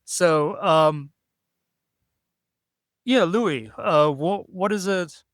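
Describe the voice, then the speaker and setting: A man's voice: high-pitched, drawn-out words, no room sound, studio quality. Rambling male podcast host. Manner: hesitant, rambling, full of ums and "so, yeah". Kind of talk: casual interview chat.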